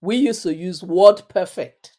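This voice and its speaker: A woman's voice: fairly low pitch, measured pace, dark tone. A middle-aged woman with an African accent, telling her career story in an interview in an earnest, emphatic way and repeating phrases for stress. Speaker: interview guest. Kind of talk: reflective personal storytelling.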